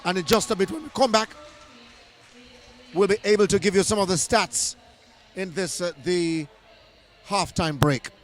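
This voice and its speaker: A hoarse man doing live netball commentary in an indoor arena, excited and often restarting his phrases. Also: high-pitched, moderate pace, long pauses.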